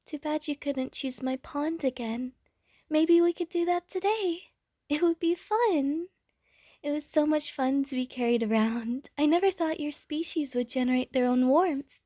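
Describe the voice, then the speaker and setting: A woman's voice: high-pitched, unhurried, warm tone, no echo, clean studio sound. A woman voicing a friendly, bubbly, naive female water slime who rambles chattily to the listener in an audio roleplay. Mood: wistful, warm.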